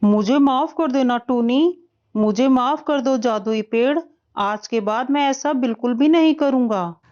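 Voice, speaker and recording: Woman voicing a blustering drunken crow husband, slow delivery. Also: high voice, darkish tone, muffled recording. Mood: apologetic. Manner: blustering, coarse. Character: drunken, bullying male crow husband, later contrite. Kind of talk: Hindi moral-story cartoon dialogue.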